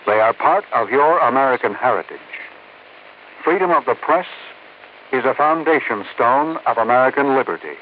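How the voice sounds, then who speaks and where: Old-time radio announcer guy, declaiming, lively pitch, thin hissy sound. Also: normal speed, narrow, tinny audio. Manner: formal and declaiming.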